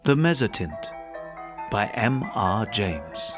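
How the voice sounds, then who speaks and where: A man narrating a classic gothic ghost story in a measured, formal, theatrical storyteller's manner. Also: on the low side, big pitch swings, music in the background. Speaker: audiobook narrator and podcast host.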